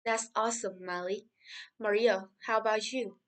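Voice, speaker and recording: A man's voice: sits high, steady tone, moderate pace, a bit bright. A man playing a friendly, upbeat podcast host in a scripted interview for English learners. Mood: cheerful.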